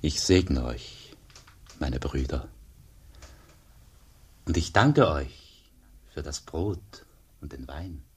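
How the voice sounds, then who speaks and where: A humble monk on the road in a radio play, low husky voice, quiet and modest. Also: male voice, animated, drawn-out words, pauses often, heavily acted. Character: humble wandering monk, Father Eugen.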